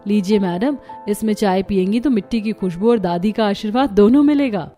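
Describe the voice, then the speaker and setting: A woman voicing a calm, wise elder sister-in-law in a cartoon, soothing and measured. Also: mid-range voice, soft background music, voice pushed down, close to an everyday voice. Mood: warm.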